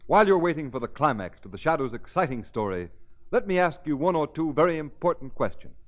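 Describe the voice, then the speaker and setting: A man announces in formal 1930s radio commercial style. His fairly high voice moves emphatically up and down like a salesman's. The vintage recording sounds dull and muffled.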